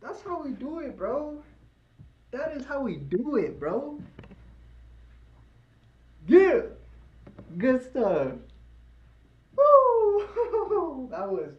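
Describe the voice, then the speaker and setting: A man's voice: extremely high pitch, huge pitch swings, extremely slow, pauses often, lo-fi sound. A man with a light voice hosting a live trading stream, chatting casually with viewers and cheering on his trades. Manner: hyped, cheering and chanting during trades.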